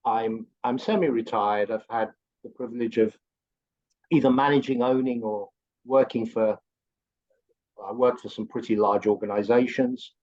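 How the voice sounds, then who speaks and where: An older man with an English accent, chatting at length as a course co-instructor, his voice swinging up high as he talks. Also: steady tone, normal speed, a little mellow. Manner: chatty and rambling, with big upward swings in pitch. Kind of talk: promotional video-call chat. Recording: dull lo-fi call audio.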